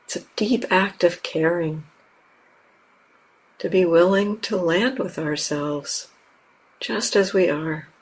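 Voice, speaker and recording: A woman teaching guided meditation, speaking gently and unhurriedly in short phrases with long pauses. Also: on the low side, a little hiss or hum, poor audio. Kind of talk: guided meditation, unscripted instruction.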